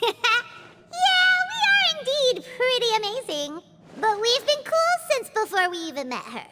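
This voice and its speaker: A woman voicing a tiny, perky, cheeky childlike companion in a video game, squeaky and blunt. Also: very animated, a little slow, very noisy, heavily acted. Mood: smug, cheeky. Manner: blunt, boastful, exclaiming. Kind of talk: anime-style video game acting.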